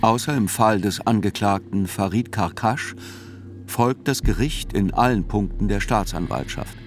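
A man's voice: on the low side, measured pace, slight background noise, lightly acted. An actor playing a sober, reflective male reporter who narrates a radio drama in literary reportage style.

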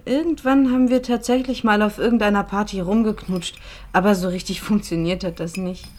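A woman's voice: medium pitch, steady tone, fairly quick, darkish tone, lightly acted. An actress playing a guarded, defiant young woman in a naturalistic crime drama, speaking with emotion. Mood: confiding, subdued. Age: young adult.

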